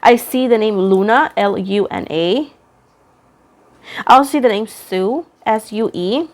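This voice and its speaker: A woman's voice: mid-range voice, unhurried. Chatty woman reading tarot. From Puerto Rico. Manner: rambling, self-deprecating, repeats phrases for emphasis. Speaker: tarot reader, host.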